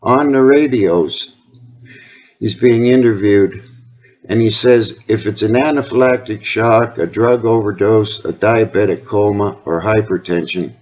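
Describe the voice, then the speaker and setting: A man's voice: lowish voice, measured pace, darkish tone. A man with a Canadian accent talking earnestly and insistently on a phone call, rambling from point to point. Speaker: caller, health awareness advocate. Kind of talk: phone conversation. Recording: dull lo-fi phone call recording.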